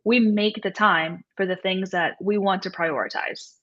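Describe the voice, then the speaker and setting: A woman's voice: medium pitch, fairly even intonation, normal speed, a bit bright. A woman in her thirties or forties answering interview questions conversationally as a coach, explaining things and often checking in with "right?" and "you know". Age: 30s to 40s. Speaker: nutrition coach and guest. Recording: dull, lo-fi audio.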